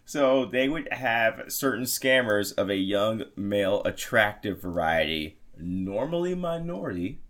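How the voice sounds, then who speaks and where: Brief: a rambling, crude male podcast host spinning a story with animated pitch. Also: measured pace, hardly any pauses. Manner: crude, jokey, swearing, rambling. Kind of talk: casual comedic storytelling.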